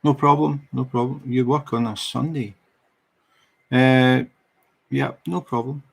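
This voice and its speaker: A man's voice: medium pitch, gravelly, husky. A middle-aged man from Scotland with a Scottish accent, chatting casually with viewers on a crafting livestream. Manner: relaxed, unhurried, chatty with viewers. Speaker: diamond painting livestream host.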